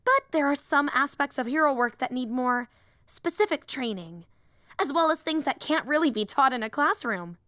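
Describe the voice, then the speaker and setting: A woman voicing an excitable, nerdy young female superhero in an audio roleplay, earnest and rambling, often apologizing and interrupting herself. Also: sits high, moderate pace, no room sound, clean studio sound. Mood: earnest, enthusiastic. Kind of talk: roleplay audio drama acting.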